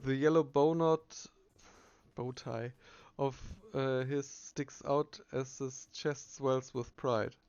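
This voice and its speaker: A man narrating a video game playthrough, reading the text aloud with casual asides and halting self-corrections. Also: medium pitch, steady tone, a little mellow, smooth, highs cut off.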